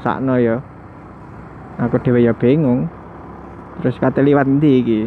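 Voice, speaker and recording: A man's voice: medium pitch, steady tone, moderate pace. A man riding a motorbike at night, chatting casually to his viewers through a headset mic and musing aloud with the odd chuckle. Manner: chatty, muses aloud, chuckles now and then. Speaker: motovlogger. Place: on a motorbike on night city streets. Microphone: headset mic worn while riding. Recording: tinny helmet mic with loud road noise.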